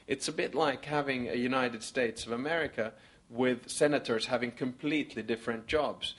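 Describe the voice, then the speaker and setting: Measured man counting off his points. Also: medium pitch, fairly bright tone. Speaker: conference panel speaker. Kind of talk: answering audience questions at a conference.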